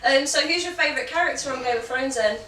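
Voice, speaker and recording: A woman whose first language is Punjabi, interviewing an actor in his home, chatty and quick to laugh. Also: high voice, sharp tone, roomy sound, far from the mic, slight background noise. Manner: chatty, laughs easily, says "like" a lot. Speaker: magazine interviewer. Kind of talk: casual interview chat. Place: guest's home, indoors.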